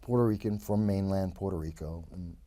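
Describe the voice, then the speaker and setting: A man in his fifties from New York, a guest telling his life story in a lively, rambling way full of quick asides. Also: low-pitched. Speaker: interview guest. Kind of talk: casual interview storytelling.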